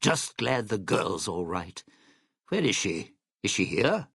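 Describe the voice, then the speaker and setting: An actor voicing a scheming, bitter, sardonic crook in a video game, with a sly, theatrical delivery. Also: male voice, on the low side, sing-song, takes it slowly, vocal fry, fairly bright tone, breathy edge, dry recording, clean studio sound, full character voice. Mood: suspicious, irritated. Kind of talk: video game character dialogue.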